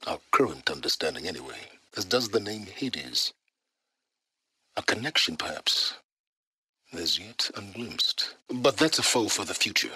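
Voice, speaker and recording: Aloof secretive game scholar, real deep voice, lots of rise and fall, talks down to you. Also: male voice, big pitch swings, pushed and bright, husky, full character voice. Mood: measured, intrigued. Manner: measured, condescending, formal diction. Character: aloof, secretive middle-aged male wanderer and scholar of ancient ruins. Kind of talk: dramatic video game voice acting.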